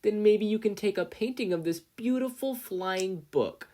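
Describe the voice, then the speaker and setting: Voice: medium pitch, measured pace, warm tone, voice pushed up, natural voice. A reader voicing a crowing, sickly-sweet teenage boy henchman who mocks his victim. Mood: gleeful mocking.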